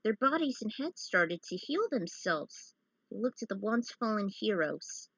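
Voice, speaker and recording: A woman's voice: medium pitch, big pitch swings, measured pace, a bit bright, dry recording, low-quality recording. A woman narrating a story and chatting casually over gameplay. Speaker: storyteller and gamer reading own fan fiction.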